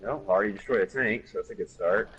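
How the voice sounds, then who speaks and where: A man with a very deep voice gives casual callouts during a multiplayer game. Noise and clicking are clearly heard behind him. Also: fast talker. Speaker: co-player calling out game events. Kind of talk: casual gameplay chat.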